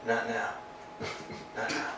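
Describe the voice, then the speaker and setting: An actor playing a petulant, weary, childish tramp in a staged play reading, theatrically swinging between mutters and yells. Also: male voice, slow. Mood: weary, idle. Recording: very echoey room, distant mic, faint noise.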